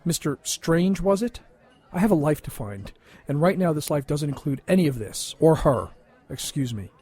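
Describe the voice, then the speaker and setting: A man's voice: fairly high pitch, animated, moderate pace, dark tone, husky. A man playing a big, traumatized and resentful younger brother in an audio drama, bitter and wounded in manner. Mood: cold resentment.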